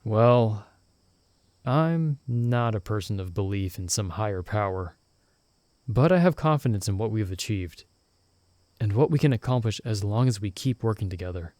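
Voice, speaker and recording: A man voicing a soft-spoken loyal young friend. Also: on the low side, expressive, normal speed, dry recording, natural voice. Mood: gentle, reassuring. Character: young man, loyal friend stranded in another world, steady and reassuring. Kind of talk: ASMR audio roleplay.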